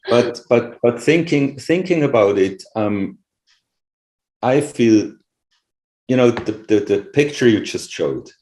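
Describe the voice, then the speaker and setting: A man's voice: medium pitch, expressive, takes it slowly, darkish tone. A middle-aged Swiss man with a Swiss accent, not a native English speaker, answering interview questions in a thoughtful, conversational way with frequent "you know" and "right?" asides. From Switzerland. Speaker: interviewed scientist. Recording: echoey room.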